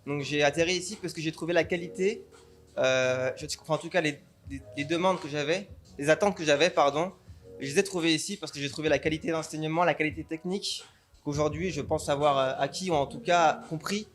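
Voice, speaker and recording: A man's voice: a little high, brisk. Man, dance teacher, from the Paris suburbs, enthusiastic, repeats himself, faint music behind. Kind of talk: interview answers.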